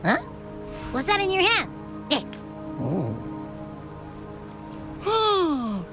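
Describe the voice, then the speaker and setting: High-pitched, curious game companion. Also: female voice, drawn-out words, over music, heavily acted. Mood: curious, playful. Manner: playful, expressive, thinking aloud. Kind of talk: video game character dialogue.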